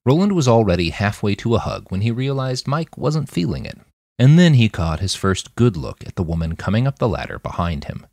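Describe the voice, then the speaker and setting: A man reading a scripted story aloud as an expressive audiobook-style narrator. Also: lowish voice, brisk, dry recording, clean studio sound. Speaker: author reading own fiction podcast.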